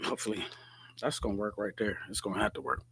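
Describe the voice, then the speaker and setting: A middle-aged man hosting his podcast alone, hoarse and relaxed, chatting to viewers and answering their comments. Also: lowish voice, quick pace, a little hiss or hum. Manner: laid-back, talks straight to viewers, reads out comments. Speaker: podcast host. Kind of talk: casual solo livestream chat.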